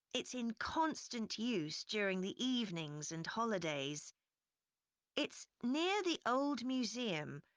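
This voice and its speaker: Lady giving a visitor info talk, takes it slow, pitch moves around a lot, scripted. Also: bright, forceful tone, dry recording, heavily acted. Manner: measured, clearly explanatory. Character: informative park representative giving a visitor presentation. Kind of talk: scripted informational presentation, listening test recording.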